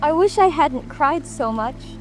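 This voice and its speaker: Grown woman as polite curious little girl in an audiobook, really high n animated, earnest, music and rain behind. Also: a little slow, rough recording. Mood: rueful. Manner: earnest, apologetic, eager. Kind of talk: dramatic audiobook character reading. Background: music, rain ambience.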